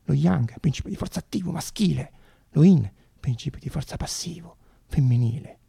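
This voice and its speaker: A man playing an excitable, obsessive young student, muttering to himself and bursting into exclamations in a theatrical monologue. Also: a little high, normal speed, gravelly, husky, no echo, studio quality, exaggerated voice. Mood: triumphant, excited.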